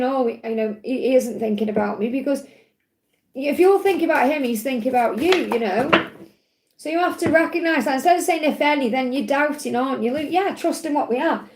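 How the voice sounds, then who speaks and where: A woman with a slightly croaky voice, giving gentle spiritual advice on a live stream and often checking in with "okay?". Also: high voice. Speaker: spiritual reader answering live chat questions. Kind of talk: live-stream Q&A, casual and reassuring. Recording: echoey room.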